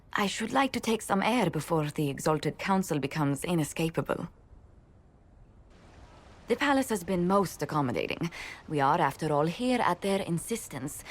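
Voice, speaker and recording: A refined lady adviser from a fantasy game. She speaks in a slightly low voice, with gracious manners and careful formal wording. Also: animated, normal speed. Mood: courteous. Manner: formal, precise, polite. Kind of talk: video game dialogue acting.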